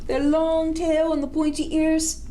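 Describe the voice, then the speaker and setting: High, sing-song woman reading to children. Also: little pitch movement, unhurried, dark tone. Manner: sing-song, coaxing, asks the listener questions. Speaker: storytime host reading a picture book. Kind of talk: interactive children's read-aloud. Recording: faint background noise.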